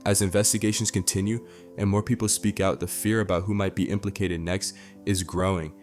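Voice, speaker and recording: Deep voice guy doing dramatic celeb news voiceover, bit of music behind. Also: level tone, a little fast, a little mellow. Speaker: narrator. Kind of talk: scripted celebrity news narration. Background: background music.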